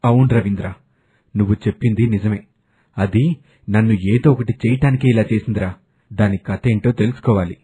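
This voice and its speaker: A man acts a stern village elder brother in an animated tale. The voice is very husky and rough, muted and dark, with a suspicious, commanding manner. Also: fairly low pitch, moderate pace, long pauses. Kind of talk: animated moral story dubbing.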